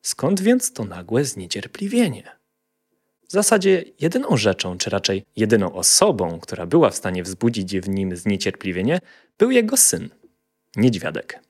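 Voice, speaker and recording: A male storyteller reads a scripted fairy tale for children. His voice is on the low side, and his intonation is very lively and varied. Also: normal speed.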